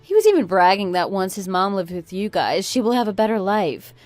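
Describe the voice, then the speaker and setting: A woman playing a fed-up, sharp-tongued married woman in a radio drama, speaking in an emphatic, scornful, lecturing manner. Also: medium pitch, very animated, a little fast, no echo, soft background music, lightly acted. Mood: pointed, resentful. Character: fed-up, sharp-tongued oldest daughter-in-law, a divorcing breadwinner. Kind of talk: scripted radio drama acting.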